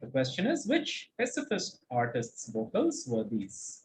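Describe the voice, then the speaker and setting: A man's voice: medium pitch, wildly swooping pitch, unhurried, a little hiss or hum. A man hosting a quiz, reading out questions and commenting on answers in an articulate, encouraging, explanatory way. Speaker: quizmaster and host.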